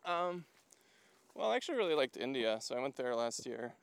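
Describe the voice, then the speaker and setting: A man's voice: medium pitch, very animated, fairly quick, vocal fry, a little hiss or hum. A man from Hungary chatting casually as a podcast guest outdoors, telling things in a rambling way peppered with fillers. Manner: rambling, filler-heavy storytelling with frequent "like". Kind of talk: casual chat while walking. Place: outdoors, walking to a lookout.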